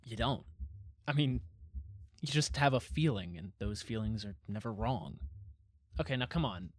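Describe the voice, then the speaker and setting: A man acting as an earnest, patient male friend in a naturalistic audio drama. His pitch moves a great deal as he coaxes in casual, halting phrases. The sound is close and dry. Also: expressive, no room sound, lightly acted. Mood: firm, coaxing. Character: earnest, patient best friend gently pressing for honesty.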